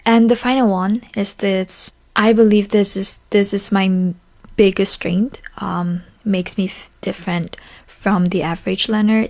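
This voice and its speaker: A young woman who is a graduate student and hosts a podcast. She talks in a friendly, clear and unhurried way, with a few fillers and restarts. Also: medium pitch. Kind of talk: casual chat, then a solo talk for learners.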